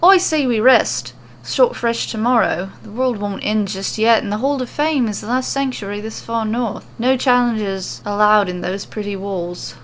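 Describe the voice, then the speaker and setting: A woman's voice: medium pitch, normal speed, few pauses, a little hiss or hum, close to an everyday voice. A woman voicing a cocky, world-weary female champion with a boastful, dispassionate manner in an audiobook-style fantasy story. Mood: bored, cocky. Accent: attempted Australian.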